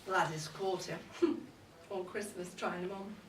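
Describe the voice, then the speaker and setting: A woman's voice: on the low side, steady tone, measured pace, natural voice. Cheeky older woman, acted out by a woman, distant and roomy. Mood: gossipy, cheeky. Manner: wry, bawdy, confiding, chatty. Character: cheeky, boozy older mother-in-law gossiping at Christmas. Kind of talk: comic dramatic monologue read to a live audience. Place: indoor live event at a pub. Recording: roomy, distant live recording with audience noise. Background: audience crowd.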